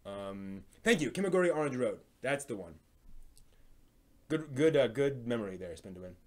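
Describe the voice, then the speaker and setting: A man hosting a live stream, chatting casually and giving recommendations in a halting way, often thinking aloud. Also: mid-range voice, animated, darkish tone. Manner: halting, thinks aloud, hums filler syllables while searching.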